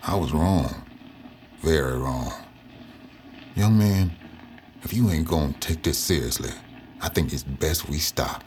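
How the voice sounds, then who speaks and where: Very deep-voiced stern old man. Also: expressive, unhurried, pauses often, darkish tone. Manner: grave, folksy, admonishing. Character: stern, aged storyteller man, easily offended. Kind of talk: audio drama acting. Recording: faint ambient noise.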